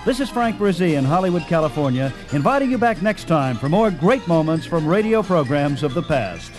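A man speaks as a radio host in a scripted announcing style. His voice sits a little high for a man, with music clearly playing behind it. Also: big pitch swings, normal speed.

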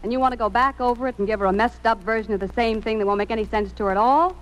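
A woman's voice: on the high side, rapid-fire, lightly acted, low-quality recording. An actress playing a blunt, indignant, tough-talking woman in an old radio drama. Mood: indignant, scolding. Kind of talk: 1950s radio drama acting. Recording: old radio recording, some noise.

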